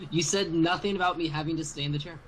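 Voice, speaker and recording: A man's voice: a little high, brisk, slight background noise, low-quality recording. A young man joking around in a game roleplay, cheeky and teasing with feigned innocence. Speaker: guest player in roleplay. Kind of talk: playful game roleplay banter.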